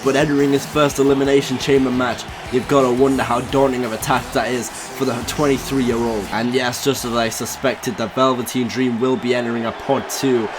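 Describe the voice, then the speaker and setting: A man commentating his own wrestling video game, losing his voice, getting hyped and shouting at the big moves. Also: medium pitch, level tone, fairly quick, hardly any pauses, noisy background. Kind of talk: excited play-by-play commentary.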